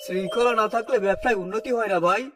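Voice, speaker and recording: Man as a high-pitched, haughty, scolding shopkeeper. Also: fairly even intonation, fast talker, close to an everyday voice. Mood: haughty, grumbling. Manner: scolding, contemptuous, domineering. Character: harsh, haughty male sweet-shop owner obsessed with cleanliness. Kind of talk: cartoon story drama. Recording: clear background music throughout.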